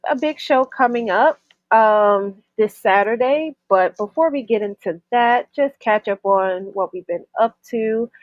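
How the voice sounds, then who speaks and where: A woman's voice: medium pitch, no echo, lo-fi sound. A woman from New York co-hosting a casual wrestling podcast, sounding stuffy from a cold and talking with frequent ums and restarts. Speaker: co-host. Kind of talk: casual chat.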